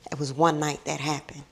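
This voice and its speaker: A woman's voice: low-pitched, creaky, fairly bright tone, breathy edge. A middle-aged woman vlogging to her viewers, chatty and drawn out, calling them honey and baby, her voice a little tired and scratchy. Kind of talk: casual chatty vlog.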